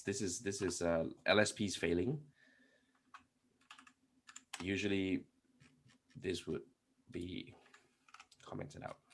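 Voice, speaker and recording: A man's voice: fairly low pitch, fairly bright tone, slight background noise. A man giving a conference talk on programming, explaining step by step with many restarts and rhetorical questions while typing code. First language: not Polish. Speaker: conference speaker. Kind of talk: live-coding tech talk.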